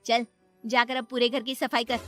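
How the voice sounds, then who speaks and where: A woman acts out a cruel stepmother from a cartoon moral tale. Her pitch is raised, her delivery sharp and scornful, and she gives spiteful commands. Faint music plays behind her. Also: flat delivery, normal speed, lightly acted. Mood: harsh, bossy. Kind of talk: animated moral story dialogue.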